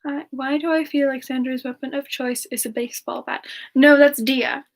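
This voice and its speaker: A woman doing a semi-ASMR live question-and-answer chat in character, musing aloud in a halting, giggly manner. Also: sits high, fairly even intonation. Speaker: livestream host in character, answering viewer questions.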